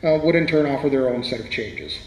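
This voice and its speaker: A man's voice: mid-range voice, sing-song, a little fast, darkish tone, natural voice. A man playing a wry, literary playwright reminiscing as an interview guest in a radio drama, with hesitant starts. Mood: wry, modest. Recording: echoey hall, faint background noise.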